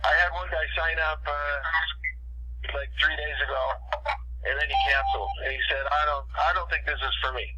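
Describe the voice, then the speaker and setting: A man hosting a business conference call, talking informally in a rambling way and repeating himself as he makes his pitch. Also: fairly even intonation, a little fast, bright, forceful tone, slight background noise. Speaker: host and business owner leading a conference call. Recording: dull, lo-fi phone conference line.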